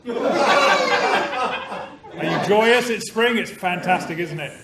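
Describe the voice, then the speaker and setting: A man's voice: high-pitched, takes it slowly. A man hosting a club meeting, cheerful and bantering as he opens the evening with jokes and a safety talk. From new Canadian citizen. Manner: cheerful, bantering, self-deprecating. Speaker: meeting host opening a club meeting. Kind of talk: jokey public-speaking address to a club audience. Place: meeting room with a live audience. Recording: echoey room, far-off mic, noisy.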